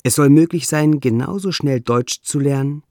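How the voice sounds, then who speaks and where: A man with a non-native German accent that struggles with umlauts, reading his own essay in a measured, deliberate way with dry, self-ironic humor. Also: on the high side, darkish tone, no room sound. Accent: non-native German, trouble with umlauts.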